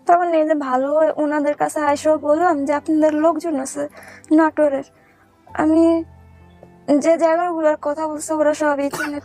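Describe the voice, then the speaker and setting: High-voiced Bangladeshi woman telling her story. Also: a little mellow. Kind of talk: personal storytelling.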